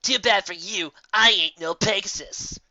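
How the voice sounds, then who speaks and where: A woman's voice: medium pitch, measured pace, bright, forceful tone, no echo, lo-fi sound, voice pushed up. A woman voicing a cocky young female griffin with a sneering, slangy, dismissive manner in a story reading. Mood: taunting.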